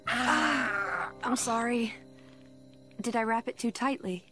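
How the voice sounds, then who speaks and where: A gentle young heroine in a game drama. She is polite and hesitant, fairly high and slow, with plucked guitar music clearly behind her. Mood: gentle concern. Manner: soft-spoken, hesitant, polite. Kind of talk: dramatic video game acting. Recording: prominent music behind dialogue.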